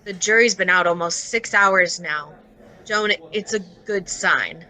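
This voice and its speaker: A woman playing a concerned, excitable friend of an accused young woman, urgent and emphatic, in old-time radio drama style. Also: lowish voice, a little slow, poor audio. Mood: anxious concern.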